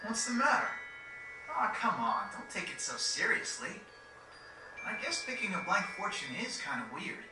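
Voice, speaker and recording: A man playing a brash, excitable young teenage boy in a video game cutscene, speaking bluntly and casually. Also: high voice, moderate pace, echoey room, recorded from a distance, background noise.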